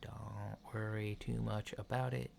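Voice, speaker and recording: Man, unhurried low voice, soft-spoken ASMR, calm awkward alien doctor roleplay, clinical, lo-fi noisy home recording. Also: vocal fry. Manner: soft-spoken, hesitant, clinical and matter-of-fact. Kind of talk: ASMR medical checkup roleplay. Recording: lo-fi home recording with background noise.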